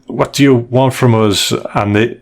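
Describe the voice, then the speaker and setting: A man co-hosting a podcast, talking through a review in a casual, measured and explanatory way. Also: medium pitch, fairly even intonation, vocal fry. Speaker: podcast co-host.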